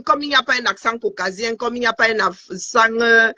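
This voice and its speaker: A man's voice: very high-pitched, fairly quick, sharp tone. A man hosting a live-stream panel, teasing and talking over his guest, trailing off into fillers, on lo-fi phone audio.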